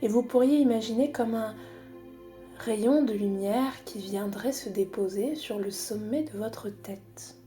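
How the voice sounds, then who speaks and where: A woman guiding a body scan meditation in a calm, unhurried, soothing manner with long pauses. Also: on the high side, soft background music. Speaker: psychologist guiding a relaxation exercise.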